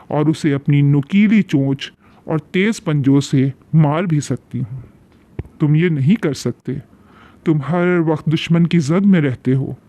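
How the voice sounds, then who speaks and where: A man's voice: fairly high pitch, a little mellow. A man voicing a big, powerful, sly female eagle ruler in a cartoon fairy tale, with grand, persuasive speech-making.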